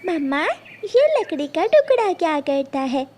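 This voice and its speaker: A woman's voice: very high-pitched, big pitch swings, a little slow, dark tone, slight background noise, voice pushed up, full character voice. A woman voicing a curious little girl chick in a cartoon, childlike and inquisitive.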